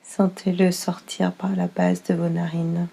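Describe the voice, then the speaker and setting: A woman leading a guided yoga nidra relaxation as instructor, soothing and unhurried, speaking in short phrases with pauses. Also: fairly low pitch, sing-song, dark tone, breathy edge.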